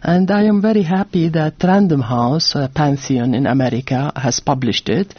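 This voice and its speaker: A woman's voice: deep voice, normal speed, breathy edge, no echo, muffled recording. A woman from Jordan, an author telling her family story in a radio interview, earnest and full of fillers and restarts. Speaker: author on a book tour. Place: radio studio.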